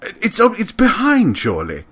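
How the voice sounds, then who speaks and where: A man's voice: high-pitched, wildly swooping pitch, a little slow, fairly bright tone, husky, full character voice. An actor playing a nervous, flustered clockmaker in an audio drama, stammering as he protests.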